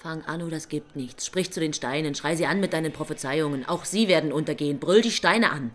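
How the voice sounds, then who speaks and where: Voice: mid-range voice, fairly quick, a bit bright, lightly acted. A performer in a German radio play acting a small, crazy, ragged angel who needles and commands. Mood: taunting.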